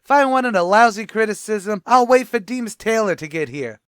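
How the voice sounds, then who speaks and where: A man's voice: high-pitched, expressive, normal speed, a bit bright, smooth, no room sound. A man playing a wisecracking tavern manager in an old-time radio comedy, talking in brash, ungrammatical tough-guy patter. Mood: bossy. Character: wisecracking middle-aged male tavern manager.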